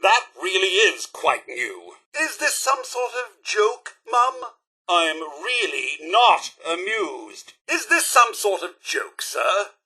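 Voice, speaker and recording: A man's voice: sits high, big pitch swings, slow, bright, forceful tone, husky, heavily acted. A man with a British accent voicing a prim, loyal robot butler in a video game, with a formal, fussy, theatrical manner. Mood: offended. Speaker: companion character in a video game. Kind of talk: scripted game dialogue.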